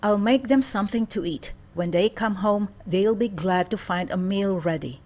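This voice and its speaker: A woman's voice: mid-range voice, normal speed, a little mellow, lightly acted. A woman reading the part of a sweet, polite young girl in a fairy tale. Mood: curious.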